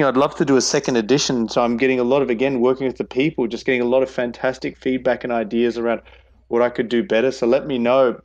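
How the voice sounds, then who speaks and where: A man being interviewed on a podcast, talking enthusiastically and restarting words as he goes. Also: mid-range voice. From Australia. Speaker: guest, innovation expert. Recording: dull lo-fi sound.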